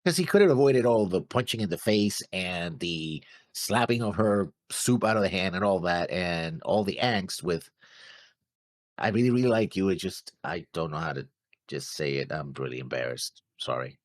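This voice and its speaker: A man's voice: low-pitched, animated, moderate pace, no echo, clean studio sound. A man co-hosting an anime show, chatting casually in a laid-back way. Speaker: co-host of an anime reaction show. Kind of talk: casual chat.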